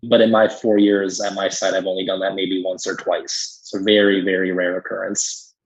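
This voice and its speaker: A man's voice: deep voice, level tone, normal speed, husky. A man explaining things conversationally as a pharmacist guest in an interview, emphatic when he agrees, on slightly muffled audio. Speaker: critical care pharmacist guest.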